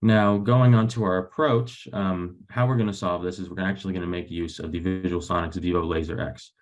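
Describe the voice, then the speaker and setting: A young man, a graduate researcher, giving a technical webinar presentation over a video call in an explanatory, somewhat hesitant way, with a low creaky voice. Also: fairly even intonation, a little fast. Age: young adult.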